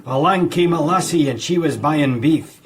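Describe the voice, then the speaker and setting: A man's voice: on the high side, normal speed, close to an everyday voice. An actor playing a plain-spoken, wry male assistant to a Victorian scientist in a radio drama. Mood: bashful, jokey.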